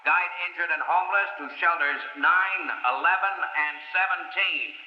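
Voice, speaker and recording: Actor as echoing civil defense dispatcher. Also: male voice, a little high, fairly even intonation, normal speed, close to an everyday voice. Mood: urgent, official. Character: brisk male civil defense dispatcher on loudspeaker. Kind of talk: official announcements, repeated clearly. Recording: echoey, muffled old radio broadcast.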